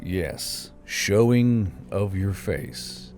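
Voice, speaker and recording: Man acting a low, measured, commanding soldier. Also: animated, darkish tone, slight background noise, heavily acted. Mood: gently firm. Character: gruff but gentle male soldier, calm and commanding.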